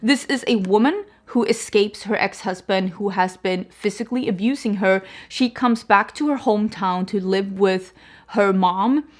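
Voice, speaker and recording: A woman's voice: medium pitch, normal speed. A young woman, talking casually to camera as she reviews books, with lots of fillers and self-corrections. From Sweden. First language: Swedish. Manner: chatty, filler-heavy, frequent restarts and "like". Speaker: book reviewer. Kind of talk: casual book review chat.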